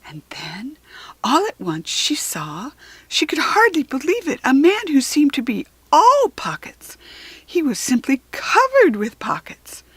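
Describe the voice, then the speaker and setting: A woman reading a children's story aloud with expressive, deliberate phrasing and dramatic emphasis. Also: high voice, no room sound.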